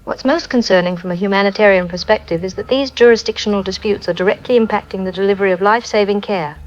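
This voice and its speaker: An actress plays a female aid coordinator on a slightly dull, lo-fi line. She is middle-pitched, formal and articulate, giving a concerned, businesslike crisis briefing in a radio drama. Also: fast, natural voice. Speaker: aid spokesperson. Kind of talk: scripted news interview.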